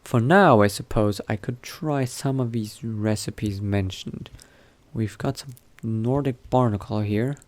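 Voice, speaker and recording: A man doing an in-character game roleplay, reading aloud in a measured, bookish way and muttering asides to himself. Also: on the low side. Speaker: roleplay gamer narrating in character.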